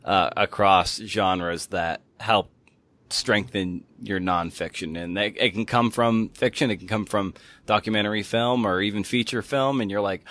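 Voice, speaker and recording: A man's voice: on the low side, moderate pace, fairly bright tone, no room sound. A man hosting a podcast interview in a casual, conversational way, restarting phrases as he thinks aloud.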